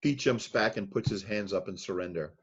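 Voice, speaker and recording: Casual: low husky guy reading out scene directions, muffled dull recording. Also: brisk. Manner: plain, steady reading of scene headings and action. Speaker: narrator of a screenplay reading. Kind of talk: reading stage directions aloud.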